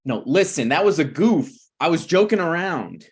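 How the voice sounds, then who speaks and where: A man's voice: on the high side, big pitch swings, normal speed, slightly rough, exaggerated voice. A man playing a shameless, self-serving friend in a comedy table read, glib and unbothered, with hesitant conversational phrasing. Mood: sheepish.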